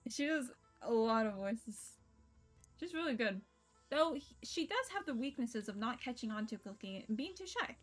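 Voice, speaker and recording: A woman's voice: on the high side, animated, normal speed, faint music behind. A young woman streamer narrating a visual novel aloud, reading casually and breaking off into laughs and swearing.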